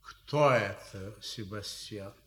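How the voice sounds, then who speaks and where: A man's voice: fairly low pitch, slow. A man acting a stern, pompous family friend who speaks formally and commandingly in a radio play. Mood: displeased, commanding.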